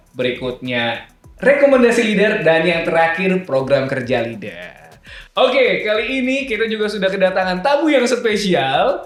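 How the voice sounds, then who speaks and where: A man hosting a casual company podcast, teasing his guest and laughing often. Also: a little high. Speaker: podcast host. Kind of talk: casual corporate podcast interview. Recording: roomy studio with faint music.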